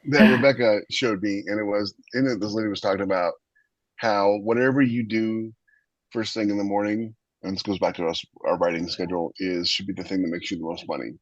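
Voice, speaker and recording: A man's voice: lowish voice, fairly even intonation, a little fast, dry recording. A man co-hosting a podcast in a casual, conversational way, on a dull lo-fi recording. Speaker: podcast co-host and fiction writer. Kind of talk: casual panel chat.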